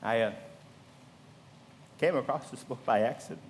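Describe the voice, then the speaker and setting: A man's voice: medium pitch, normal speed, slight background noise, lightly acted. An actor playing a humble, grieving old father in a radio play, with a hesitant, folksy way of talking. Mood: proud, sorrowful. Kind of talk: live radio play acting. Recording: reverberant hall.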